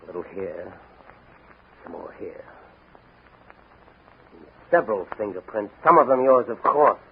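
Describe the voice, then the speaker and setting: A man's voice: on the low side, lively intonation, unhurried, gravelly. A man playing a tough, confident young masked crimefighter in a 1940s radio drama, American accent, commanding and wry. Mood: brisk, self-assured. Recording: crackly, narrow-band 1940 radio recording.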